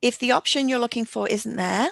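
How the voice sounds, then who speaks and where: A woman's voice: fairly high pitch, brisk, vocal fry, no echo, studio quality. A middle-aged woman hosting a webinar, explaining things in a businesslike, presentational way. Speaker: webinar host and coaching company founder. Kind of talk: webinar presentation, interview format.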